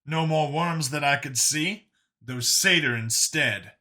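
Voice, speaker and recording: Guy doing an older escaped prisoner guy, earnest n halting, voice pushed up high and bright, audiobook dialogue. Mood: grim recounting.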